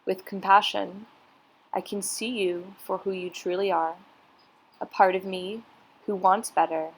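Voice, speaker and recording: Female meditation guide, medium pitch, very unhurried and soothing, giving gentle step-by-step instruction. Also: steady tone, breathy edge, low-quality recording.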